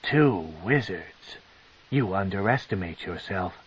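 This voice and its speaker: A man voicing a cold, scheming female dark elf matriarch, with a slow, commanding and sneering delivery. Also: low-pitched, lively intonation, breathy edge, heavily acted. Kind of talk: audiobook character reading. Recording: dull lo-fi tape.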